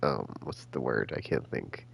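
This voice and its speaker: A man giving casual, rambling game commentary as a streamer, halting and slangy as he thinks out loud. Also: extremely low pitch, animated, vocal fry, slight background noise. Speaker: gaming streamer.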